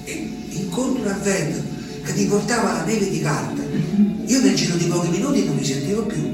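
Very echoey, distant stage recording with music behind it. An actor plays a simple, haunted country man telling his story in a radio drama, halting and repeating himself, with emphatic theatrical swells. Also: high-pitched, close to an everyday voice. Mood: haunted wonder. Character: simple, haunted Abruzzese peasant man recalling his abandoned wife.

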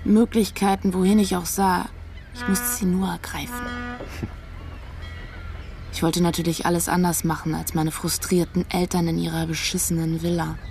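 A woman's voice: fairly low pitch, steady tone, moderate pace, a little mellow, soft background music, lightly acted. An actress playing a searching, disillusioned young woman in a naturalistic radio drama, talking pensively. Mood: disillusioned, musing.

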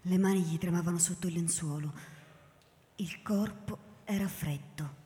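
A woman narrating a radio story in an intense, theatrical way, moving from hushed to loud. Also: fairly low pitch, normal speed. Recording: reverberant hall, faint music behind.

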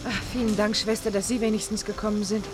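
A woman's voice: medium pitch, level tone, fast, over music, natural voice. An actress playing an anxious, emotional woman in a radio drama. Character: anxious, emotional woman among stricken friends. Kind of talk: German radio play dialogue.

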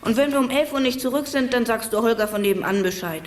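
A woman's voice: fairly high pitch, fast, natural voice. A woman playing an earnest, careful child detective in a German children's radio play, speaking with spirit. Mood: earnest, planning.

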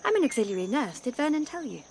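A woman's voice: high voice, big pitch swings, fast talker, gravelly, a little mellow, slight background noise, exaggerated voice. An actress playing an earnest, genteel young woman, polite in manner, in a radio drama. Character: Nell, earnest, genteel young English nurse and wife.

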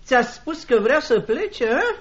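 High-pitched, bullying cruel schoolmaster. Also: male voice, very animated, fairly bright tone, heavily acted. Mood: sneering suspicion. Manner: sneering, threatening. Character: cruel, bullying schoolmaster husband, mocking and brutal. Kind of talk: Romanian radio theatre acting. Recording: dull, band-limited radio drama recording.

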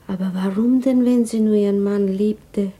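An actress playing a troubled, grieving woman in a radio play, brooding in a hushed, intimate inner monologue. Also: mid-range voice, fairly even intonation, normal speed, lightly acted. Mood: anxious brooding.

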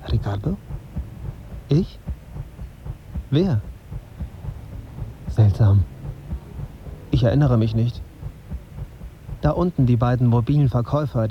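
A man's voice: medium pitch, close to an everyday voice. A dull, slightly noisy recording carries a young journalist's interior monologue acted by a man. He observes in short fragments, introspective and detached, as a brooding radio drama narrator. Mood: dazed, brooding.

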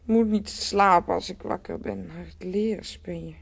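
An actress playing a groggy, hungover, querulous woman in a Dutch radio play, with a husky, creaky voice and weary, complaining manner. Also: mid-range voice, steady tone, moderate pace. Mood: irritable.

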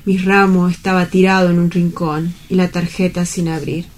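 A woman's voice: lowish voice, level tone, normal speed, darkish tone, natural voice. A woman playing a dreamy, lovelorn young male bank clerk in a radio drama, with wistful, poetic, earnest delivery. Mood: sorrowful confession. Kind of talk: dramatic radio-play monologue.

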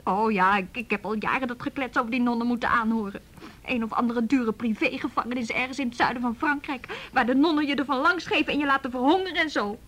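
An actress playing a hurt, defiant young runaway girl in a naturalistic radio drama, telling things in a halting, wry way. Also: a little high, a bit bright. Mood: indignant.

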